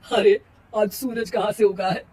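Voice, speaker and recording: A woman's voice: mid-range voice, measured pace, a little mellow, breathy edge. A woman playing a tired older mother-in-law in a family cartoon, nagging and sarcastic with emphatic delivery. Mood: sarcastic surprise.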